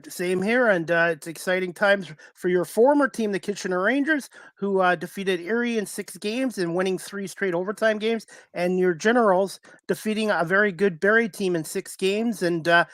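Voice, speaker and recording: A man's voice: sits high, moderate pace, a bit bright, no room sound. A man hosting a sports talk radio show, introducing and chatting with his guest.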